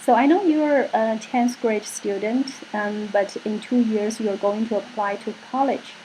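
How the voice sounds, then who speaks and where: A woman's voice: a little high, a little slow, warm tone, a little hiss or hum, low-quality recording. A woman interviewing a student, who asks questions in an encouraging way and keeps prompting him along. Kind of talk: admissions interview.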